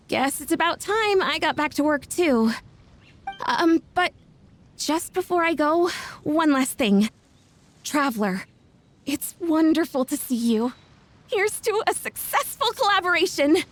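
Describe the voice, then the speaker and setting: A woman voicing an earnest, modest young female event organizer in a game, easily flustered and effusive. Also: high voice. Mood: grateful, eager. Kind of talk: English game dub acting.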